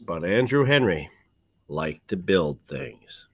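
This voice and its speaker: A man reading a children's storybook aloud like a teacher, with deliberate phrasing, dramatic pauses and emphasis. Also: low-pitched, big pitch swings, slight background noise. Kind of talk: expressive children's storybook narration.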